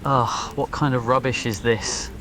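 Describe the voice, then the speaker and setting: A man's voice: mid-range voice, steady tone, a little slow, fairly bright tone, lightly acted. A man voicing an irritable, haughty teenage wizard who mutters and grumbles in an audio story. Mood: irritated, grumbling.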